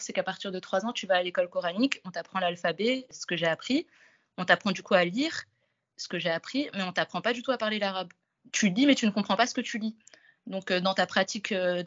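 A woman's voice: mid-range voice, muffled recording. A woman in her twenties from France, a guest telling her story in a casual interview. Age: 20s.

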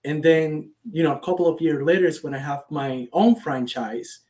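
A man with a Cuban accent and a slightly high voice answers as an interview guest. He is a native Spanish speaker, talking conversationally with earnest enthusiasm. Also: normal speed, warm tone. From Cuba. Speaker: guest, startup founder.

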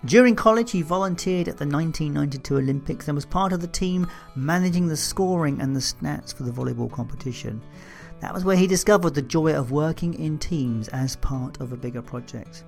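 A man hosting a podcast, reading out a guest introduction in a measured, emphatic way. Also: a little high, vocal fry, soft background music. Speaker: podcast host. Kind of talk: scripted intro then interview.

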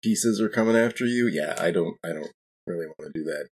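Deep, husky man playing tabletop. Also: brisk. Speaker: tabletop role-playing game player. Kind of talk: casual in-game chat.

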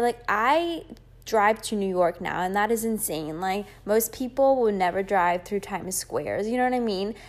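A woman in her twenties from Greece, hosting a podcast and chatting casually on her own, with lots of fillers and rambling asides. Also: medium pitch. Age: 20s. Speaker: podcast host. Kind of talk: casual solo podcast chat.

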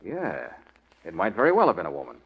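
An actor playing a brisk, tough but kindly private detective in a 1940s radio drama, asking clipped, informal questions. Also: male voice, sits low, huge pitch swings, breathy edge, full character voice. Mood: gentle concern. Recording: muffled vintage radio recording.

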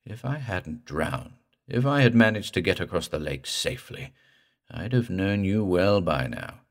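A man voicing an undead drowned man in a horror story, with a slow, creepy, guttural, gurgling drawl and a vengeful temper. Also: deep voice, lively intonation. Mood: eerie, brooding.

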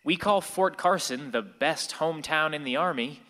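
A man's voice: a little high, normal speed, sharp tone. An actor playing an angst-ridden sixteen-year-old boy who narrates a radio play in a reflective way. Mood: storytelling. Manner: reflective, storytelling to the listener. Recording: reverberant hall.

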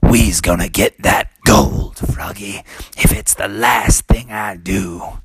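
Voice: low-pitched, slow, breathy edge, no echo, soft background music, full character voice. Storyteller doing a folksy, determined daddy frog. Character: adventurous good-old-boy father frog.

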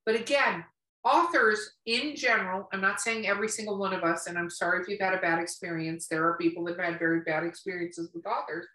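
A woman's voice: mid-range voice, fast talker, bright, forceful tone. A chatty, teasing woman hosting a casual podcast conversation over a video call from an echoey room. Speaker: podcast host. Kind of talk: casual chat, interviewing. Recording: roomy echo on voice.

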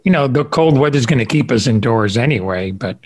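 A man's voice: mid-range voice, animated, a little fast. A man hosting a podcast interview in an informal, affable and encouraging conversational way. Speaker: podcast host.

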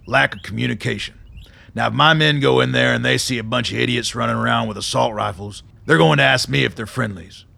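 An actor playing a hard-bitten, blunt, profane army colonel in a radio drama, speaking with gruff authority. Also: male voice, mid-range voice, a little fast, pushed and bright, no echo, heavily acted. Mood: angry, forceful.

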